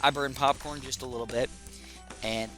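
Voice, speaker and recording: Young male gaming YouTuber, slightly raspy, bright and excitable, casual commentary. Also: mid-range voice, normal speed, soft background music. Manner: chatty and excitable, thinking aloud. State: slightly raspy from a sore throat.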